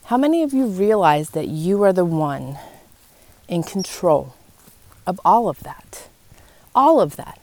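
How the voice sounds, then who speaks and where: A woman with a slightly low voice talks directly to her listeners as a podcast host. She is earnest and encouraging, setting out her thoughts in short, reflective phrases with pauses between them. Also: very animated, a little mellow, slight background noise. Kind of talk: personal, faith-based motivational talk to listeners. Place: outdoors in wind.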